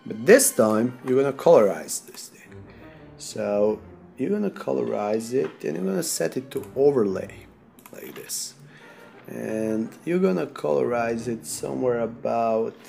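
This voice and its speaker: A man from Serbia with a Serbian accent, sick, talking through a software tutorial in halting non-native English while he clicks. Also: mid-range voice, animated, gravelly, faint music behind. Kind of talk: screen-recorded software tutorial.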